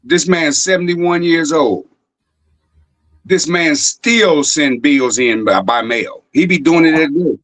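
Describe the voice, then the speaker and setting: Man, casual stream host, halting with fillers, dry close mic. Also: a little high, fairly bright tone, no room sound. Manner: rambling, halting, many fillers. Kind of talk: casual chat on a live stream.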